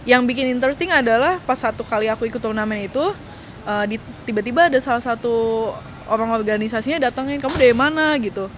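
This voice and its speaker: This is a young woman with an Indonesian accent and a somewhat high voice. She talks casually as a guest in an interview, telling stories and voicing the quoted bits of conversation. A faint vehicle-like ambient noise sits behind her. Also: fast. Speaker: guest, professional golfer and coach.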